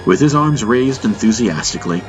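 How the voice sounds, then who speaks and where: A man narrates a science-fiction audiobook in a low, deep voice. His delivery is scripted, dramatic storytelling, and music plays clearly behind him. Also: animated, normal speed, poor audio.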